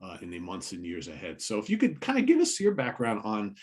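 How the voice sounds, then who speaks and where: Low-voiced relaxed male podcast host. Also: a little fast. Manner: relaxed, rambling questions with fillers. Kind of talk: casual interview chat.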